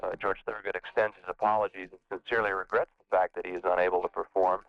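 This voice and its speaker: A man's voice: low voice, level tone, racing, no echo, lo-fi sound. A man giving a formal, hesitant statement as a publicist, on a band-limited interview clip.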